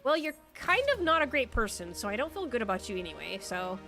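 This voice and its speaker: Congested girl streaming a game, rambly and chatty, swears a bit, higher voice. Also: very animated, fast, sharp tone. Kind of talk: casual game livestream chat. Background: faint background music.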